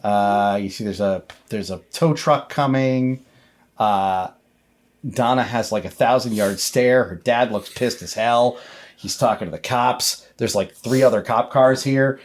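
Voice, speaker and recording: A man acting as game master, narrating a tabletop horror game in a conversational, improvised, halting way. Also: medium pitch.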